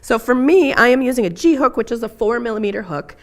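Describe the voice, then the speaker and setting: A middle-aged woman, hoarse and raspy after being ill, teaching a live crochet tutorial in a chatty way with frequent ums. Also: a little high, expressive, fast talker. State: hoarse from illness. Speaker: crochet instructor.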